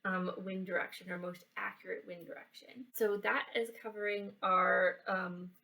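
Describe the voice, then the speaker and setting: A woman giving a casual how-to science and craft demonstration for children, explaining in a halting way and checking in as she goes. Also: mid-range voice, little pitch movement, gravelly, sharp tone, distant mic. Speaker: library children's program presenter. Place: indoors, in a library building.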